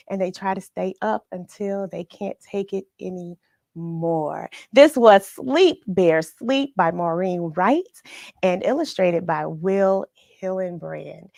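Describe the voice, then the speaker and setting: A middle-aged American woman hosting a children's read-along livestream, chatting in an encouraging, unhurried way straight to her viewers. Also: mid-range voice, gravelly, darkish tone, dry recording, studio quality. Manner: encouraging, unhurried, addresses listeners directly. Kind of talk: chatty livestream to viewers, greeting them by name.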